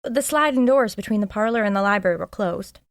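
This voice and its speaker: A woman's voice: medium pitch, lively intonation, a little fast, no echo, clean studio sound, natural voice. An actress playing an eager, chatty sidekick to a woman sleuth, earnest and inquisitive, in an audio drama. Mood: earnest, confiding. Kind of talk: audio drama acting, dialogue.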